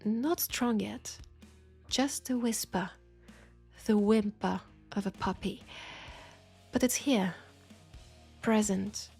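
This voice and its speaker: A woman with a French accent playing a knowing, teasing witch in an intimate roleplay audio drama, with husky, breathy speech and dramatic pauses. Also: medium pitch, darkish tone, soft background music, full character voice. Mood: knowing, mysterious. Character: knowing, teasing, compassionate witch in a secluded werewolf town.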